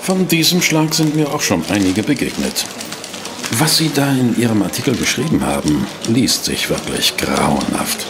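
An actor playing a courteous, inquisitive newspaper reporter in a German audio drama, speaking politely and formally. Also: male voice, mid-range voice, big pitch swings, normal speed, background noise. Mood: concerned. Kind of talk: German audio drama acting and first-person narration.

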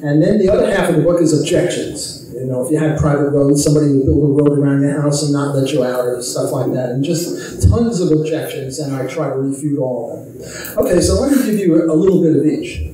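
A man's voice: a little high, brisk, mellow, far from the mic, a little hiss or hum. An older man, an economist, giving an informal, chatty convention talk on a handheld mic, with wry, self-amused asides. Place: large hotel ballroom stage. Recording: echoey ballroom from the camera.

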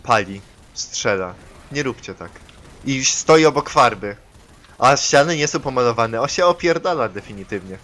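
A man's voice: medium pitch, lively intonation, moderate pace, a bit bright. A man casually bantering and joking with friends while playing a co-op video game, swearing now and then. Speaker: gamer in co-op gameplay session.

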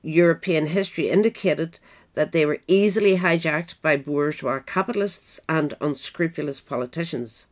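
A woman reading a scripted history essay aloud in a measured, formal manner. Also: sits low. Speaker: audiobook reader.

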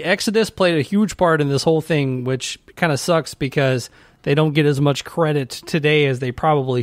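A man's voice: medium pitch, no room sound. A man co-hosting a podcast, giving casual commentary and explaining his thoughts as he works them out. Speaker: podcast co-host reviewing a music documentary.

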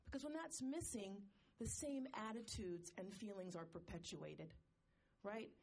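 A woman in the audience of a panel discussion, making a long, earnest comment in a measured way. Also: medium pitch, darkish tone. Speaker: audience member asking a question. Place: conference hall audience.

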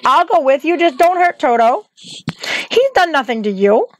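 A woman's voice: sits high, expressive, normal speed, a bit bright, dry recording, pitched above the performer's own voice. A woman voicing a frightened, earnest young girl with a breathless, pleading manner in an audiobook. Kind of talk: audiobook character acting.

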